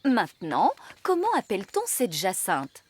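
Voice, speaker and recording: Prim, bossy fairy nanny, animated pitch. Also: female voice, unhurried, a little hiss or hum. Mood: instructing. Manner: schoolmistressy, pointed. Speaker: nanny and tutor character. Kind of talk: animated children's cartoon dub.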